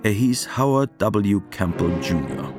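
A man with a deep voice narrates a radio play from a script. His delivery is matter-of-fact, and music plays clearly behind him. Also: sing-song, unhurried.

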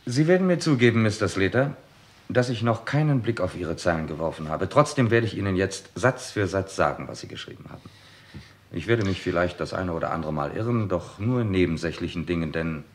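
An actor playing a shrewd, self-assured police detective in a vintage radio crime drama, questioning politely but pointedly and methodically. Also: male voice, deep voice.

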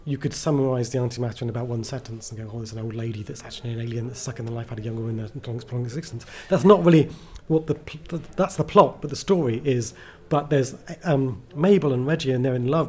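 Mellow, rambling enthusiastic man. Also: mid-range voice, fast, few pauses. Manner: enthusiastic, rambling, self-deprecating. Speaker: writer, interview guest. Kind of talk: casual interview chat.

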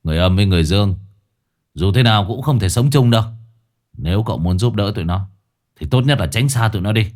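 A man voicing a mysterious drunken male stranger with a croaky voice, giving ominous warnings in an audio story. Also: fairly low pitch, expressive, fast talker, a little mellow, lightly acted. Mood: stern warning. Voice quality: husky, croaky.

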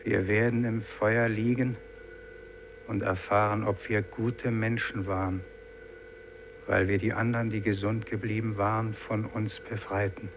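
A man's voice: fairly low pitch, little pitch movement, a little slow. An actor playing a doomed, solemn fisherman in a 1950s German radio drama, declaiming a vow like a litany. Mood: solemn, resolute. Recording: muffled, noisy vintage radio recording.

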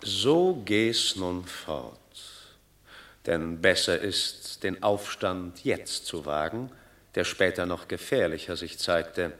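Scheming Roman tribune, rabble-rousing, bright insistent voice, swooping intonation, radio drama. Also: male voice, sits low, animated, slow pace, heavily acted. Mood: low plotting. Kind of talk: classic German radio drama, stage verse.

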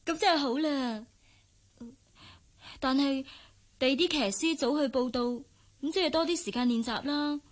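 Woman acting a high-voiced, girlish bride-to-be. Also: normal speed, long pauses, lightly acted. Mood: playful. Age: young adult. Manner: coaxing, playful. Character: affectionate, girlish young bride-to-be. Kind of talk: Cantonese radio drama acting.

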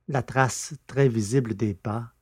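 A man's voice: mid-range voice, a little slow, creaky, a little mellow, breathy edge, no echo, clean studio sound. A man reading scripted literary narration as the narrator of an audiobook.

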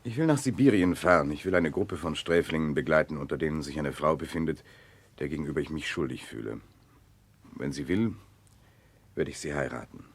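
A man's voice: a bass voice, a little fast, slightly rough, exaggerated voice. An actor playing a remorseful, idealistic nobleman in a 1960s German radio drama, earnest and insistent. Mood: earnest, firm.

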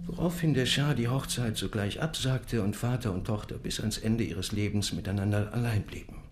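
An actor playing a proud, enigmatic Ottoman miniature painter who tells parables in a measured, deliberate way in a radio drama. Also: lowish voice, background noise, natural voice. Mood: measured storytelling. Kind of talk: literary radio drama storytelling. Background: music.

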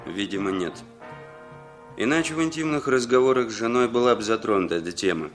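A man's voice: on the low side, animated, brisk, soft background music, natural voice. An actor playing a jovial, cynical male intelligence agent in a 1960s Soviet radio play, talking in a casual, bantering manner. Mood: brisk, businesslike.

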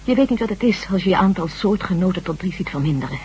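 An actress playing a grave, resolute survivor of a hunted humanlike species in a Dutch radio drama, speaking in an earnest, measured way. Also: female voice, on the low side, lively intonation, a little mellow, natural voice. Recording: dull old radio recording with hum.

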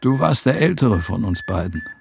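An actor playing a younger brother, talking earnestly and probing for answers in a radio drama. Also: male voice, lowish voice, very animated, moderate pace, creaky, darkish tone, a little hiss or hum.

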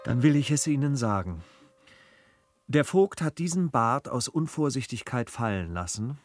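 An actor playing a courteous, cautious gentleman traveller with deliberate, polite phrasing in a classic radio comedy. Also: medium pitch, big pitch swings, no room sound, clean studio sound, soft background music, close to an everyday voice. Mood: discreet, earnest. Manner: polite, deliberating, measured wording.

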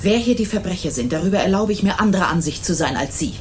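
A woman's voice: fairly low pitch, expressive, quick pace, darkish tone, natural voice. A middle-aged woman acting an indignant, self-righteous mother in a German radio crime drama, with a stern, emphatic delivery. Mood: indignant, defiant. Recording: 1980s radio drama with faint noise.

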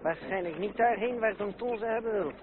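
A very noisy, narrow old recording holds a high male voice, an actor's, as a resolute gentleman on the road. He speaks briskly and takes charge, in radio drama manner. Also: natural voice. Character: decisive male traveller, gentlemanly nephew leading the party. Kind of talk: radio play acting.

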